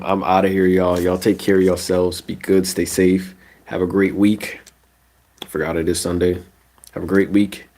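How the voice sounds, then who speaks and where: A young man with a stuffy, nasal voice from a cold, ranting casually about basketball on a live stream. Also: deep voice. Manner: animated ranting, casual slang. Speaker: sports streamer.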